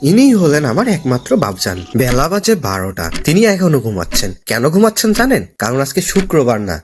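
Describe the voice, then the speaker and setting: A man's voice: medium pitch, wildly swooping pitch, quick pace, slight background noise. A young man with a Bangladeshi accent, narrating a comic story playfully to viewers with mock complaints. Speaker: cartoon narrator playing the son. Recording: music behind the voice.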